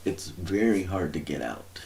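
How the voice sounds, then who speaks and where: A man talks through scripture in a slow, rambling way, often stopping to search for the right word. His voice sits very low with a gravelly rasp. A faint hiss runs under the recording. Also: animated, a little mellow. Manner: rambling, searching for words, many fillers. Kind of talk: informal religious teaching, thinking aloud.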